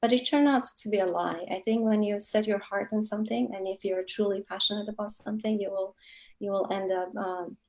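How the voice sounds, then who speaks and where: A woman's voice: mid-range voice, steady tone, brisk. Kurdish-born woman author, thoughtful, lots of ums while she explains. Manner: reflective, earnest, with frequent fillers. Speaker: author guest. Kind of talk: thoughtful interview answers.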